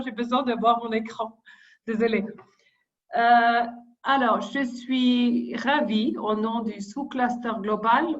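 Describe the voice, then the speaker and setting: A woman deputy coordinator reading prepared opening remarks over a video call, hesitant, with frequent pauses and restarts. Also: fairly high pitch, dull audio. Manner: hesitant, many fillers and restarts while reading.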